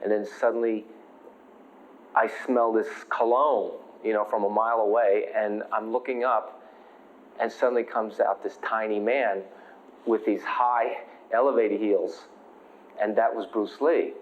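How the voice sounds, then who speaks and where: An American man, a martial artist, telling an animated anecdote in an interview and acting out what people said. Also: lowish voice, a little slow. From United States. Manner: animated storyteller, quotes people, fillers. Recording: thin phone-like sound.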